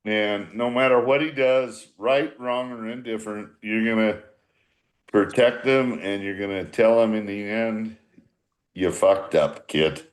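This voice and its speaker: A man in his sixties with a fairly low voice talks like an old ball manager telling stories. He rambles, circles back over his phrases and speaks bluntly, with salty language, in a casual group podcast style. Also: measured pace. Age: 60s. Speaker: former baseball manager.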